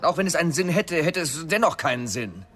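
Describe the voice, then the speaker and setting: A man's voice: a little high, big pitch swings, a little fast, a bit bright. An actor playing a blunt, indignant man, speaking sharply and emphatically in a radio drama.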